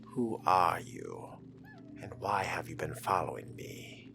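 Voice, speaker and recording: Slow, low, brooding fledgling vampire, played by a man. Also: sing-song, creaky, background noise, exaggerated voice. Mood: wary. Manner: deliberate, murmuring, formal wording. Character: young male fledgling vampire, brooding, menacing, calculating. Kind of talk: in-character game roleplay narration. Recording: music behind the voice.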